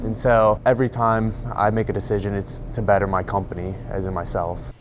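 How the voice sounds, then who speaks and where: Male high school senior, lowish voice, casual interview, noticeable background noise. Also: level tone, brisk. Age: late teens.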